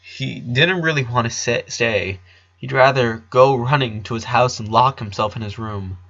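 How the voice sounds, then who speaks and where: A man narrates a story from a script. His voice is bright and forward at a middle pitch, and the recording is slightly dull. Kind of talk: scripted narration, fan fiction reading.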